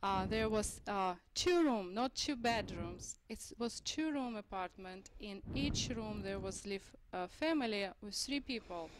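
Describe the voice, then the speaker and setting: A woman's voice: medium pitch, expressive, unhurried, hardly any pauses. Russian-accented woman guest, searches for words, bit of hiss. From Moldova. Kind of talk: interview.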